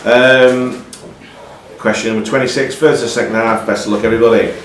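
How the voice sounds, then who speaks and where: A man's voice: mid-range voice, flat delivery, fairly quick, distant mic, a little hiss or hum. A man working as a quiz master, reading out questions and ruling on answers. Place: pub. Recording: roomy, echoing pub with music behind.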